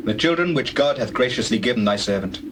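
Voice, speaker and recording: A man's voice: mid-range voice, animated, quick pace, background noise, close to an everyday voice. A man playing a humble, earnest biblical patriarch in a dramatized scripture reading, speaking formally and pleadingly. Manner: formal, entreating.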